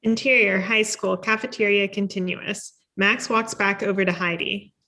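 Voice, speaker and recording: A woman's voice: medium pitch, fairly even intonation, normal speed, bright, forceful tone. A woman reading scripted screenplay directions as narrator. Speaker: stage directions reader.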